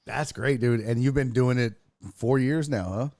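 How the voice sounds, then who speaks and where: A man's voice: mid-range voice, normal speed, darkish tone, no room sound, clean studio sound. A man hosting a casual interview show, talking in slangy, profane, buddy-to-buddy style. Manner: profane, slangy, addresses guest as dude. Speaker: talk show host and comic.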